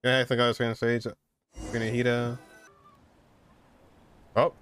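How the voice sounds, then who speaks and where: A young man reacts to a video game in casual, excitable chat. His voice sits in a middle register, full of slangy exclamations, with game music playing behind him. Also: mid-range voice, measured pace. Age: young adult.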